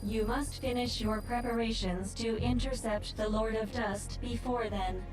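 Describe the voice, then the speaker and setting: A formal, measured female voice, as an actress plays a support AI, somewhat high. She sounds echoey and set back from the mic. Game score music plays under her. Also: far from the mic, natural voice. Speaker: game character. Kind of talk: video game cutscene acting.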